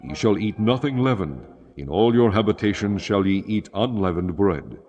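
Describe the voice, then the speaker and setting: A man narrating scripture from a script in a solemn, measured, formal way. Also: on the low side, lively intonation, darkish tone, dull audio. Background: music.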